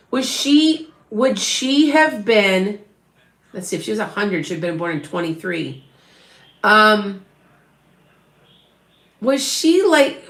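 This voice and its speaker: A woman's voice: on the high side, fairly bright tone, roomy sound. A middle-aged woman from Wisconsin with an Upper Midwest accent, a psychic medium rambling through a live reading and chatting with her viewers. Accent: Upper Midwest, northwest of Chicago. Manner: rambling, chatty, many restarts and asides.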